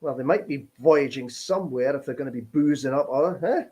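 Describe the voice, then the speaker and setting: A man's voice: mid-range voice, brisk, dark tone, breathy edge. A man co-hosting a live stream, chatting playfully with mock-sulky banter. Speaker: stream co-host. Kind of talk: casual live-stream chat.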